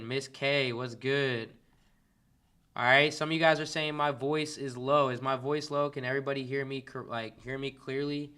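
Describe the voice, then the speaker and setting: A man's voice: medium pitch, sharp tone. A man from Kansas City, coaching on a casual livestream and greeting his chat viewers by name. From Kansas City, Missouri, US. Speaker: dropshipping coach hosting a livestream.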